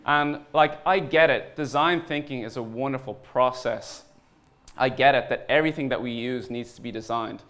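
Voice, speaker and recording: A man with an Irish accent and a mid-range voice gives a conference talk. His delivery is informal but earnest, and he places deliberate pauses to stress his points. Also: medium pitch, a bit bright. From Ireland. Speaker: conference speaker, startup founder and designer.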